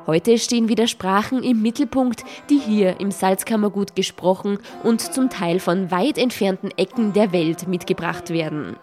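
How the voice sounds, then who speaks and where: A woman's voice: mid-range voice, moderate pace, hardly any pauses. A woman hosting a radio show, reading a scripted introduction and teasing listeners with questions. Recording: music bed behind the voice.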